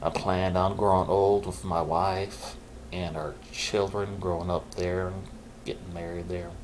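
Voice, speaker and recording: Low-voiced man telling his story haltingly. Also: little pitch movement, noisy background. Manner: halting and reflective, with pauses and restarts; says he is keeping his loud voice low on purpose. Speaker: vlogger sharing his mental health story. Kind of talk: candid personal storytelling.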